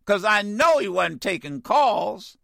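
A man hosting a talk radio show, ranting in an animated, exasperated way with many stammered restarts, his voice getting worn. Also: high voice, slow pace, gravelly, no echo, studio quality. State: losing his voice. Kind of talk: opinionated talk radio rant.

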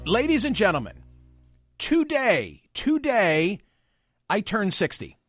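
A man in his sixties, hosting his own show, musing in a measured, wry monologue. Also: high-pitched, huge pitch swings, a bit bright, no echo, studio quality, soft background music. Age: 60s. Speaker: podcast and radio host. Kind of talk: reflective personal monologue.

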